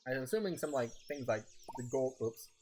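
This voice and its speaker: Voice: mid-range voice, lively intonation, brisk, darkish tone. A streamer chatting casually while playing, rambling and laughing often, a little sick with a scratchy throat. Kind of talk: casual gameplay chat.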